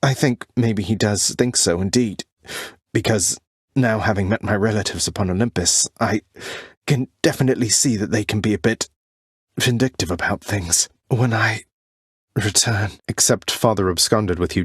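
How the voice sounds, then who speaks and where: A man playing an earnest, defiant young prince of the underworld in a video game, speaking in formal, old-fashioned wording with halting, heartfelt delivery. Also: lowish voice, slightly rough, no echo. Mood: thoughtful, probing. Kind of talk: video game character dialogue, dramatic acting.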